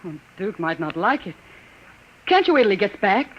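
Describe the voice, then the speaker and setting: A woman's voice: mid-range voice, sing-song, moderate pace. An actress playing a nervous, fretful gangster's girlfriend in a 1950s radio drama, with a breathless manner. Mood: uneasy. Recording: dull lo-fi old radio recording.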